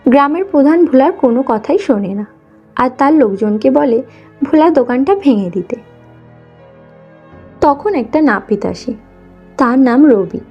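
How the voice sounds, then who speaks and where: Female cartoon narrator: high, soft-toned delivery, scripted storytelling, slightly dull audio over music. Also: expressive, unhurried, pauses often, husky.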